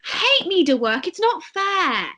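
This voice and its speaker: An eager, sulky young girl read by a woman at a table read. Her voice is high and bright, with a rough husky edge. Her pitch leaps about wildly. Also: big pitch swings, unhurried, full character voice. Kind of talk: amateur script table read.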